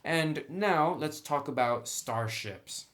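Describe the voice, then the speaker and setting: A man's voice: fairly high pitch, expressive, slow pace, a bit bright. A man giving a casual, unscripted review as he browses through a book, talking conversationally through each item.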